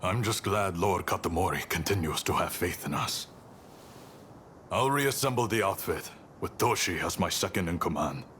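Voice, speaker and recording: A stern samurai leader, with a very low voice and a measured, commanding delivery. He sounds resolute, in game cutscene style, with clear ambient noise behind him. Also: lively intonation, a bit bright. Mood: earnest, determined. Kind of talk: video game cutscene acting.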